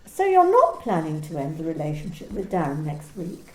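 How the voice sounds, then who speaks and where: A woman's voice: low voice, wildly swooping pitch, moderate pace, darkish tone, reverberant, poor audio. A woman playing a cold, controlling company manager in an amateur radio play, speaking in a clipped, coolly formal way. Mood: stern. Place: home during lockdown.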